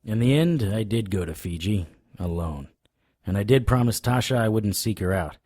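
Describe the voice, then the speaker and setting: A male actor as a wistful detective hero in a supernatural audio drama. His voice is low and very dark, and he narrates in the first person. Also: expressive, normal speed. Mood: reflective, wistful.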